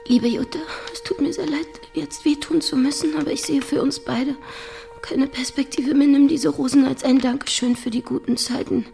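An actress playing a fragile, lovelorn dreamer in a radio drama, halting and emotional. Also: female voice, high-pitched, fairly even intonation, gravelly, over music. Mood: shaken.

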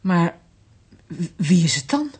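An actress playing a bewildered, shaken daughter in a Dutch radio drama, speaking hesitantly and falteringly in a breathy voice. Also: female voice, fairly low pitch, sing-song, takes it slowly, darkish tone, full character voice.

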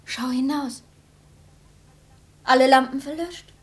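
An actress playing an anxious, guarded mother in a radio play, hushed and apprehensive. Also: high-pitched, steady tone, slow. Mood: uneasy. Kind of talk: German radio play acting.

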